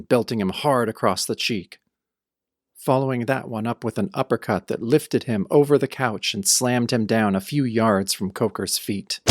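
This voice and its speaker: A man playing a brooding, tough hero who narrates an audio drama in the first person with grim, deliberate delivery. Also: medium pitch, dry recording, studio quality, lightly acted. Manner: grim, deliberate hardboiled storytelling. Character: brooding tough male hero recounting his nightmare. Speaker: narrator and protagonist.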